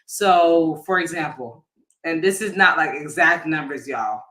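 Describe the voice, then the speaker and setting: A man giving an informal explanatory talk as an insurance agent, speaking casually and haltingly, often rephrasing mid-sentence. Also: a little high, sharp tone, poor audio.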